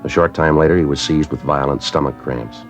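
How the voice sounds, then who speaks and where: An actor playing a terse, matter-of-fact police detective who narrates the case in clipped, businesslike sentences, in a 1950s radio drama. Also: male voice, extremely low pitch, fairly quick, soft background music. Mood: flat. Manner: clipped, flat, businesslike delivery. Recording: muffled vintage radio broadcast.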